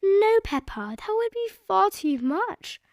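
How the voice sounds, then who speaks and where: A woman voicing a kind, sensible mother pig in a children's storybook, explaining things gently. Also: very high voice, sing-song, slow pace, dry recording, studio quality, voice pushed up, heavily acted.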